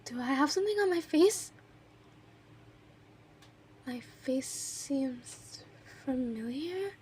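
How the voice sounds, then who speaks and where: A woman's voice: high voice, animated, slow pace, a little mellow, slight background noise. A woman playing an obsessive, lovesick kidnapper in her thirties in an intimate, emotional audio roleplay monologue. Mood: soft, eerie, guarded. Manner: clingy, emotional, swings between breathy whispers and outbursts.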